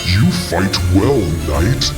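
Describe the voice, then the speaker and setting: A man's voice: deep voice, very animated, pitched below the performer's own voice, heavily acted, rough recording. A man voicing a bitter, anguished fallen champion of shadow, grave and menacing, in a fantasy audio drama. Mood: cold menace. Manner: slow, grave, dramatic declamation. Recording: dramatic music behind voice.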